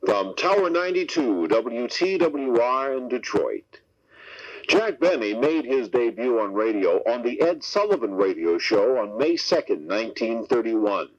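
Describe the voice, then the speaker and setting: A man's voice: mid-range voice, sing-song, unhurried, highs cut off. A man working as a radio announcer, delivering scripted narration and promotional copy. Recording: band-limited radio broadcast tape.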